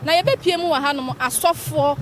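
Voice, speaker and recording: High-pitched Ghanaian woman reporting live. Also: moderate pace, a little hiss or hum. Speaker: media reporter. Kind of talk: live event commentary.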